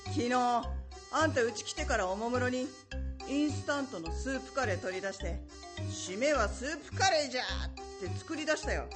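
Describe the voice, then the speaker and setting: A woman's voice: a little high, animated, fairly quick, over music, close to an everyday voice. An actress playing a blunt, teasing young woman in an amateur radio drama. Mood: amused, exasperated.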